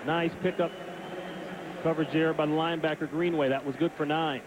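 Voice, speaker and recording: A man calling a live football game play by play, brisk and quick to follow the action. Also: on the high side, fairly even intonation. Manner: brisk, calls plays as they happen. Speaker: play-by-play announcer. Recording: broadcast booth over loud crowd noise.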